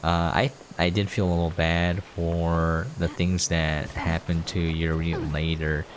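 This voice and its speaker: A man's voice: low-pitched, flat delivery, a little slow, background noise. A man giving casual, conversational commentary while playing a game, in an informal way. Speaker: game reviewer and player, host.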